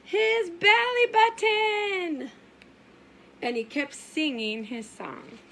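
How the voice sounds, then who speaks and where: A woman preschool teacher reading a story aloud for young children in a sing-song, exaggerated way, asking questions and pausing. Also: very high-pitched, gravelly, a bit bright. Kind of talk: animated children's story time read-aloud.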